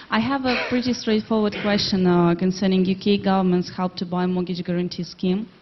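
A woman's voice: mid-range voice, fairly even intonation, normal speed, dull audio, noisy background. A young woman asking a question from the audience in a lecture hall, hesitant and full of fillers. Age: young adult. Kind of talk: question from the floor.

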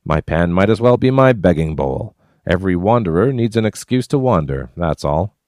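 A wry, easygoing prospector man with a low, unhurried voice, as the narrator puts him on. He muses half-jokingly, recorded close and dry. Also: very animated, a little mellow, dry recording. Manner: wry, musing.

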